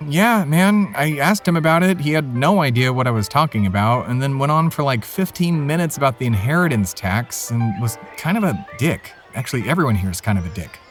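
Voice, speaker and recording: An actor playing a casual, slangy, exasperated producer sidekick in a comedy audio drama. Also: male voice, mid-range voice, expressive, a little fast, hardly any pauses, soft background music, natural voice. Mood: annoyed, casual. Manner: slangy, flustered.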